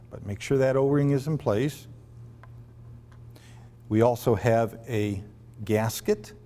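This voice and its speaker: A man giving a step-by-step instructional demonstration in a deliberate, phrase-by-phrase way with firm instructions. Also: fairly low pitch, dark tone, slight background noise. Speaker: product demonstrator. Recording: long reverberant room echo.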